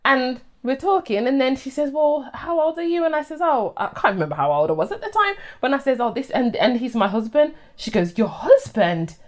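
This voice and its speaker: Thirtysomething woman chatting at home, high voice, bouncy storytelling. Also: fairly quick, hardly any pauses. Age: 30s. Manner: lively, animated storyteller. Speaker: host. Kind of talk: casual chat.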